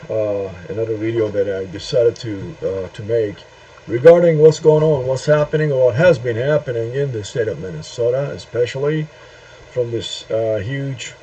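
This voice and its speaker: A middle-aged man from Turkey, speaking a halting opinion monologue to camera with many pauses and "uh"s. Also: medium pitch, warm tone. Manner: halting, searching for words with frequent "uh" and "um" pauses. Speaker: video host. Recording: dull lo-fi sound with faint noise.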